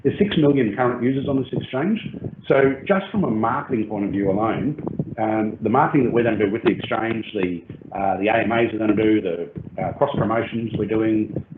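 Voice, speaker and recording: Low-voiced man on a tinny, echoey call. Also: a little fast, few pauses. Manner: hesitant, many ums and restarts. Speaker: crypto project team lead. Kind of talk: explanatory AMA talk. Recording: tinny phone-like call audio, roomy and noisy.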